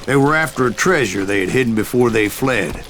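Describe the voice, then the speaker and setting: A man's voice: medium pitch, animated, brisk, fairly bright tone, a little hiss or hum, natural voice. An actor playing a stubborn, haunted old gunslinger who narrates his tales theatrically in a western game. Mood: brooding. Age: older. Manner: theatrical frontier storyteller. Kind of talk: dramatic storytelling narration in a western video game.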